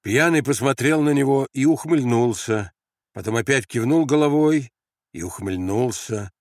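A man's voice: medium pitch, normal speed, dry recording, clean studio sound. A man reading literary prose as a scripted audiobook narration.

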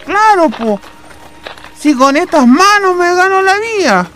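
Casting: man playing naive Chilean farm suitor, earnest, very high sing-song voice, radio play. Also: very animated, a little slow, a bit bright, soft background music, exaggerated voice. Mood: eager. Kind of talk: radio drama acting.

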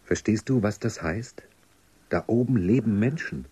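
A dismissed thinker in a dystopian radio play, defiant and dryly ironic. His lowish, husky voice moves expressively as he insists. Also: animated, unhurried, dark tone, heavily acted. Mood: hushed, intent. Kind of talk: German radio drama acting.

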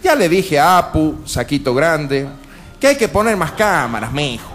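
A man's voice: fairly high pitch, very animated, normal speed, fairly bright tone, faint music behind. A man playing a folksy, foul-mouthed, exasperated Argentine provincial boss in a satirical radio sketch, grumbling and repeating himself colloquially. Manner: grumbling, colloquial, repeats words and pet address.

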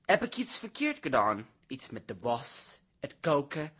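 A man's voice: mid-range voice, huge pitch swings, unhurried, a bit bright, slightly rough, heavily acted. An actor playing a chatty, open young male housemate in a radio drama, eager to please. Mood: concerned. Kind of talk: modern Dutch radio drama acting.

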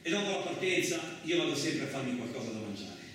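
An actor playing a coarse, suspicious, lonely truck driver in a radio theatre monologue, rambling colloquially with frequent questioning interjections. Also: male voice, mid-range voice, very animated, fast, a bit bright. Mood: rambling, scornful. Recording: very echoey, distant, noisy room recording.

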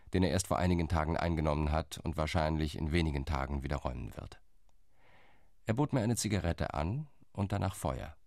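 A man's voice: low-pitched, a little mellow, no room sound, studio quality. An actor playing a shrewd, ironic male political envoy in a radio play, reporting his lobbying rounds.

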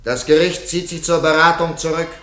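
An actor in a German radio play as a formal, officious judge, speaking with bureaucratic precision in an echoing room. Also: male voice, on the high side, steady tone, fairly quick, a bit bright, soft background music, lightly acted. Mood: officious, stern. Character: formal, officious male judge presiding over a trial.

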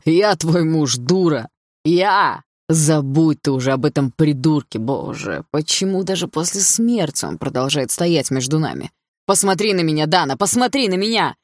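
A woman's voice: extremely low pitch, moderate pace, gravelly, a little mellow, husky, no room sound, clean studio sound, exaggerated voice. A woman voicing a menacing, obsessive and smug husband with an insinuating delivery in an audiobook. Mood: angry, bitter. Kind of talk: audiobook character dialogue.

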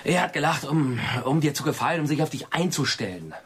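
High, husky, nitpicking sceptic. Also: male voice, expressive, fairly quick. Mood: argumentative. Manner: insistent, questioning, argumentative. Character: probing, sceptical male friend who nitpicks every word. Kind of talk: radio play acting.

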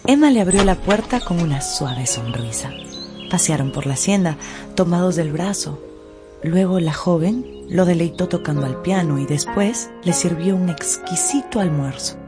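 A woman narrator telling a scripted story for a radio drama in an expressive, theatrical way. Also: fairly low pitch, moderate pace. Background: faint music.

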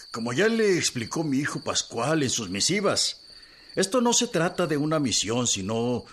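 An actor playing an evasive, ingratiating older government envoy in a radio drama, with a Mexican accent and a hesitant, placating manner. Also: male voice, a little high, fairly bright tone, slight background noise. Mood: persuasive, courteous. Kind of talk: Spanish-language radio drama acting.

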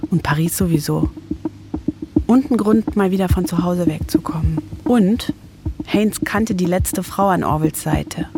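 A woman's voice: lowish voice, a little slow, mellow, soft background music. A woman in her forties delivering first-person narration for a radio documentary, as its author. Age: 40s. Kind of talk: first-person scripted narration with phone calls.

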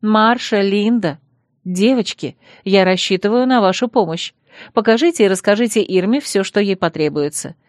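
A woman narrating a first-person fantasy novel in a measured, composed way. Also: mid-range voice, dry recording, clean studio sound. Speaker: audiobook narrator.

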